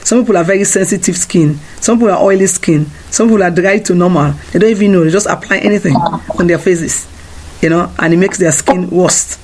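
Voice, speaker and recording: A woman's voice: on the low side, fairly quick, a little mellow, rough recording. A woman presenting a radio interview, giving emphatic advice and often restarting her phrases. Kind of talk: casual radio interview chat.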